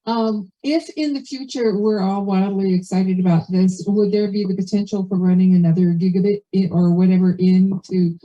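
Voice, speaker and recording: A woman's voice: mid-range voice, fairly even intonation, normal speed, hardly any pauses, warm tone. A woman in the audience at a community meeting, speaking casually to ask a question. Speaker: audience member asking a question. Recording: dull, muffled, lo-fi meeting audio.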